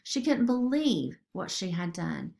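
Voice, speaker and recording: A woman's voice: medium pitch, big pitch swings, a little slow, vocal fry, darkish tone. A woman narrating a children's story expressively, as a storybook reader.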